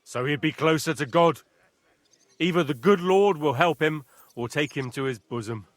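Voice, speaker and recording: An actor playing a blunt, impatient castle guard in a video game. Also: male voice, fairly high pitch, moderate pace, no room sound, natural voice. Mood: gruff. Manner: gruff, curt.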